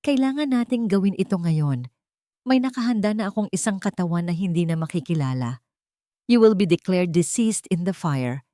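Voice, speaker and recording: A woman voicing a grave, urgent male rescuer in an audio drama, speaking in a hushed, serious way. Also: high-pitched, expressive, moderate pace, dry recording, clean studio sound. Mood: urgent, resolute.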